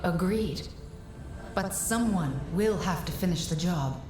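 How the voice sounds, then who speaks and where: Mystic guide: lowish, composed, solemn, formal, roomy echo, fantasy game. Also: female voice, lively intonation, slow, a little mellow. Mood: resigned, solemn. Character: calm, self-sacrificing female mystic guide to the hero. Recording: echoing voice over music.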